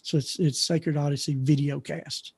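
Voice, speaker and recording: A man's voice: fairly high pitch, level tone, moderate pace, darkish tone, husky. A man speaking as a guest teacher in a casual online chat, earnest and often correcting himself.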